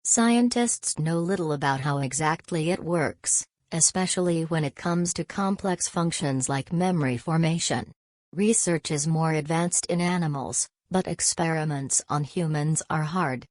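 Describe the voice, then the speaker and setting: A woman's voice: low-pitched, unhurried, a little mellow, no room sound, studio quality. A woman reading a scripted practice passage aloud as a model reader.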